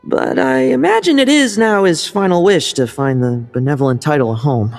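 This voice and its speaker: An actor playing a sly, cheerful young knight in a game, breezy and smooth-talking. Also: male voice, a little high, expressive, moderate pace, soft background music.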